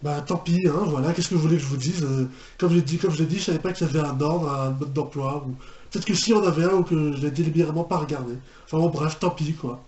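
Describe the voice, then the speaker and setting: A man's voice: on the high side, fast, darkish tone. A man giving a casual, hesitant reaction commentary, rambling and repeating his phrases. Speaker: reaction video host. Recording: echoey room with background noise.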